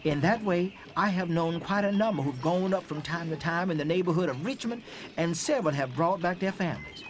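A man acting an earnest Civil War era witness recounting escapes in a theatrical dramatic reading, in an animated storytelling manner. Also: on the high side, a little fast, soft background music, natural voice. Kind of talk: theatrical first-person testimony, dramatic reading.

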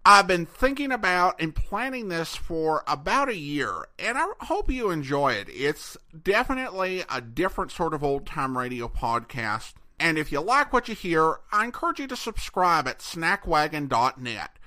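A man's voice: on the high side, very animated, normal speed, hardly any pauses, sharp tone. A man hosting a podcast, delivering a scripted introduction. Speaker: podcast host.

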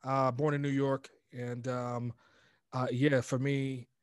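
A middle-aged American man telling personal stories casually in an interview, animated and informal, acting out quoted speech. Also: medium pitch, slow pace, smooth, dry recording. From United States, raised in Virginia. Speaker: podcast guest, motivational coach.

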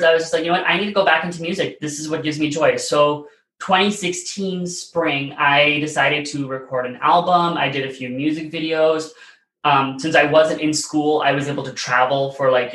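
A man's voice: on the high side, bright, forceful tone. A man in his late twenties from the United States, telling stories as an interview guest in a chatty, rambling way full of "like" and "you know.". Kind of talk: casual interview storytelling. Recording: roomy echo.

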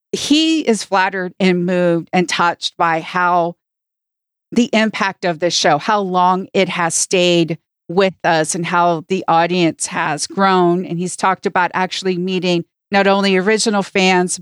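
A woman's voice: fairly low pitch, clean tone, no room sound, studio quality. A woman co-hosting a podcast, talking in a casual discussion style. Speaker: podcast co-host.

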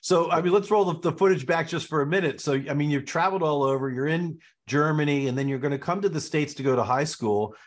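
A man's voice: on the high side, quick pace, hardly any pauses. A man hosting a podcast, chatting casually in a jokey, chummy way with his guest. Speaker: podcast host. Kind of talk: casual interview chat. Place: Boston.

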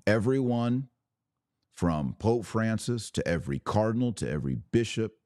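A man hosting a religious podcast, preaching in an emphatic, declarative way with deliberate pauses and repetition. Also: lowish voice, expressive, a little mellow, dry recording, studio quality. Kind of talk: preaching monologue.

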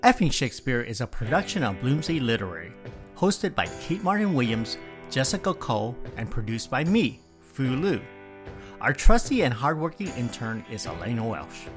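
A man's voice: mid-range voice, very animated, normal speed. A man reading scripted podcast credits as the show's producer. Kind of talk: scripted narration. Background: guitar music.

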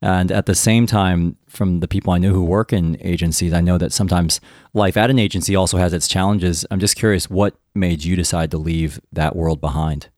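A man hosts an interview in a very deep voice, asking friendly, curious questions. His talk is conversational and easygoing. The sound is dry and close to the mic. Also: steady tone, a little fast, darkish tone, no echo. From United States. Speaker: podcast host. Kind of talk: interview hosting.

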